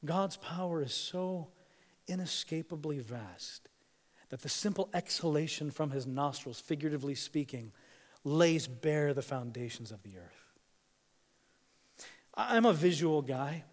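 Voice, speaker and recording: A man who grew up in East Africa, preaching a sermon, swinging between hushed and emphatic delivery with dramatic pauses. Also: a little high, animated. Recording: long hall reverb.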